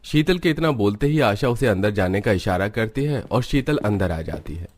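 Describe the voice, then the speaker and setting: A man's voice: fairly low pitch, expressive, normal speed. A man narrating a scripted cartoon story as its storyteller.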